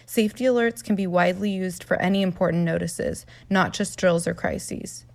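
A woman's voice: lowish voice, moderate pace, gravelly, darkish tone. A woman representing a company, reading a scripted corporate product update.

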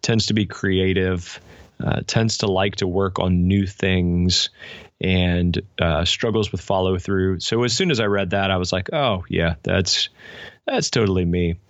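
A man in his forties speaks as a podcast guest in a very low voice. He is casual and reflective, with a self-deprecating, halting way of talking. The recording is dry and a little dull. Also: dry recording. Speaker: podcast guest, pastor and author. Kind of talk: casual reflective interview talk.

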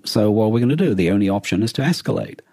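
A man co-hosting a podcast in reflective conversation, speaking earnestly in halting, emphatic phrases. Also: on the low side, lively intonation, warm tone, dry recording, clean studio sound. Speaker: podcast co-host.

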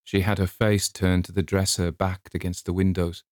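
Deep-voiced man narrating a story. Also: flat delivery, moderate pace, darkish tone, no room sound, clean studio sound. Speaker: audiobook narrator.

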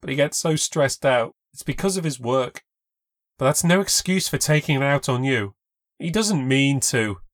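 A man voicing a distraught young woman who clings to her boyfriend, pleading in a high, tearful voice. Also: expressive, normal speed, dry recording, studio quality, close to an everyday voice.

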